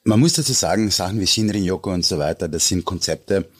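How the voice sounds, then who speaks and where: A man with an Austrian accent explaining as an expert guest in conversation, halting and searching for words yet emphatic. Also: sits low, breathy edge. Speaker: interview guest, Japanologist and translator.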